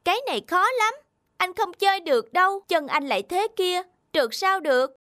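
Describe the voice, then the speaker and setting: A woman voicing a bratty, excitable little boy in a cartoon, blunt and petulant. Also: very high-pitched, brisk, dry recording, studio quality. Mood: dismissive. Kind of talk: Vietnamese children's cartoon dubbing.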